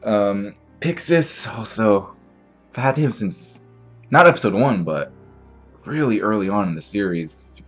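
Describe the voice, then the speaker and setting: A young man with a slightly stuffy, sniffly voice from a cold, hosting an anime reaction with rambling, excitable commentary full of fillers and restarts. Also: on the low side, measured pace, faint music behind.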